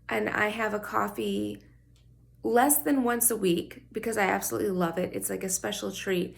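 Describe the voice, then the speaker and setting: Woman vlogging in a scratchy morning voice, heavy vocal fry, chatty and open. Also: mid-range voice. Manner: candid, chatty, personal. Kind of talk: casual talk to camera.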